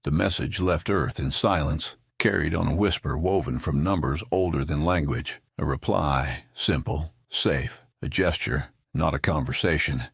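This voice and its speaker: A man acting as the grave narrator of a sci-fi audio drama, gravelly and husky, with a solemn, portentous delivery. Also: a bass voice, normal speed, few pauses, fairly bright tone, no room sound, studio quality, full character voice. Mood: solemn, ominous.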